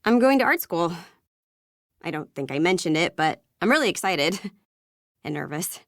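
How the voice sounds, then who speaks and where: A woman's voice: fairly low pitch, big pitch swings, moderate pace, fairly bright tone, no room sound, studio quality. A woman playing a guarded, prickly young woman in a video game, curt and awkwardly candid. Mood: awkwardly sincere.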